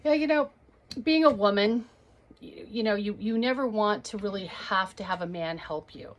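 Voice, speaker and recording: A woman's voice: medium pitch, normal speed, muffled recording. A woman performing a stand-up comedy set to a webcam, telling stories and acting out the people in them. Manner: storytelling, acts out quoted voices.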